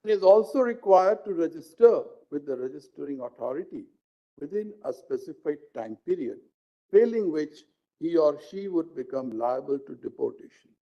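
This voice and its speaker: A man with an Indian accent, a judge reading out a legal judgment in formal, deliberate phrasing. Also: a little high, lively intonation. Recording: dull lo-fi live stream audio.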